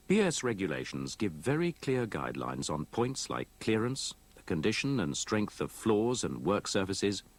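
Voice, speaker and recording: Man, husky low voice, lively intonation, methodical training film narration, old VHS tape copy. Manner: measured, explanatory, methodical. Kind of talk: scripted instructional narration.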